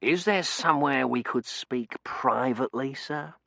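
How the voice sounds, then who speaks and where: A man's voice: mid-range voice, measured pace, creaky, sharp tone, dry recording, voice pushed up. A formal English butler, as a male narrator puts him on. He is deferential and careful, with an anxious discretion. The audiobook sound is very dry and close. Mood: discreet, nervous. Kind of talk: audiobook character acting.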